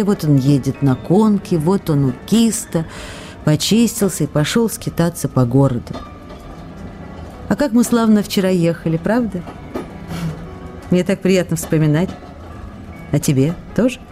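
A woman's voice: lowish voice, animated, moderate pace, pauses often, mellow, faint music behind, close to an everyday voice. An actress in a radio drama reading the tender, playful love letters of a young woman actress, in an intimate, questioning way.

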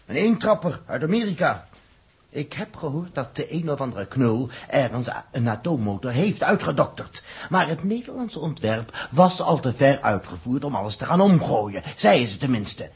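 A man's voice: mid-range voice, big pitch swings, moderate pace, breathy edge, full character voice. An actor playing a blunt, sceptical airman making accusations in a 1960s radio drama.

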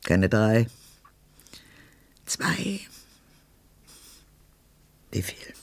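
Radio play: fussy ancient woman, low gravelly voice, dramatic swoops, grumbling and haunted by death. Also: sing-song, extremely slow, dry recording, heavily acted. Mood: dread, defiant. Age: older. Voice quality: gravelly, husky. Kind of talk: literary radio drama monologue.

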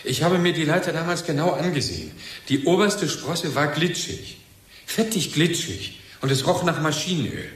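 An actor playing a bereaved, agitated husband in a German radio play, probing and insinuating in halting, pointed phrases. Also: male voice, fairly high pitch, expressive, fairly bright tone. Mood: probing, suspicious. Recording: roomy, echoing radio drama recording.

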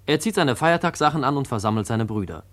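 An actor playing a sober storyteller in a radio play, declamatory and clipped in scripted narration. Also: male voice, medium pitch, expressive, fast talker, a bit bright, lightly acted. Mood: sober, questioning.

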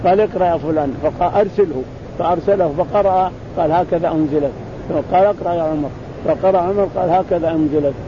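A man explains a religious text in spoken commentary. His voice sits high and he talks quickly, often repeating and restarting phrases. The recording is muffled, with a loud, constant rumble behind him. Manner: repeats phrases, restarts words, acts out quoted exchanges. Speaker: teacher explaining hadith.

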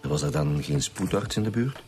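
A man's voice: very deep voice, animated, normal speed, over music. A man playing a terse, commanding chief inspector in a radio drama, with a Flemish accent and a businesslike manner. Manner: curt, businesslike.

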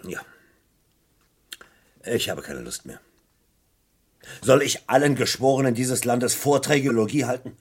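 A man playing a disillusioned, bitter police detective in a crime radio play, speaking emphatically in a husky voice. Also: medium pitch, expressive, unhurried, long pauses. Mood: frustrated. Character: embittered, disillusioned English police forensic detective. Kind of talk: German crime radio play, recounting a case.

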